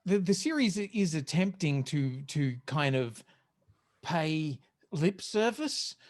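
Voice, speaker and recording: A man co-hosting a podcast in casual discussion, explaining things in a halting, qualifying way. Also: on the high side, expressive. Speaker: podcast co-host.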